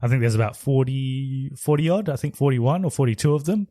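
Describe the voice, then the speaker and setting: A man's voice: mid-range voice, lively intonation, brisk, dark tone, no room sound. A man co-hosting a podcast in casual chat, explaining things step by step with hesitant restarts. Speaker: podcast co-host.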